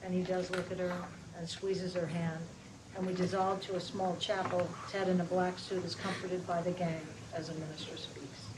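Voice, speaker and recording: A woman's voice: lowish voice, flat delivery, moderate pace. A woman reading scripted screen directions aloud in a plain, matter-of-fact manner at a table read. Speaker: reader of stage directions. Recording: very noisy live room recording.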